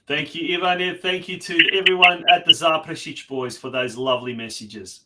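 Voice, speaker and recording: Higher-voiced Australian man hosting. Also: fairly even intonation, normal speed, very noisy. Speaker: host of a sports community TV show. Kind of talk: live show presenting.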